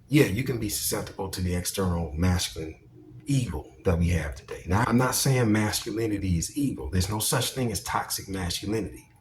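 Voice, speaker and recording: Deep-voiced male commentator, blunt and emphatic, preachy, curses freely, faint hiss behind. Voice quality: deep projecting voice. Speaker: commentary vlogger. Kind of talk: opinionated monologue commentary.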